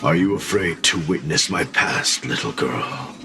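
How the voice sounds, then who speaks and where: An actor voicing an ancient, weary spirit of a cursed sword in a video game, grave, gravelly and deliberate. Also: male voice, deep voice, very animated, music in the background, heavily acted.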